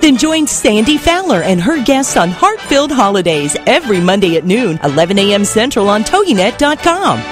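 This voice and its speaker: A woman reading a scripted radio show promo in a smooth, upbeat way. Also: medium pitch, big pitch swings, brisk, few pauses. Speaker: announcer. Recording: promo over loud music.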